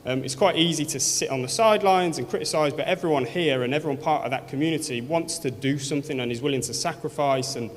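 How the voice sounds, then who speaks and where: A man's voice: on the high side, fast talker, few pauses. A man from Birmingham with a Birmingham accent, speaking earnestly at an awards event, with many fillers and restarts. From Birmingham, England. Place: large event hall. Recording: long hall reverb.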